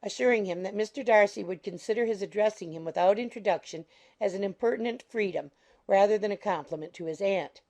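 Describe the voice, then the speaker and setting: A woman's voice: mid-range voice, quick pace, darkish tone. A woman reading scripted narration of a classic novel as an audiobook narrator.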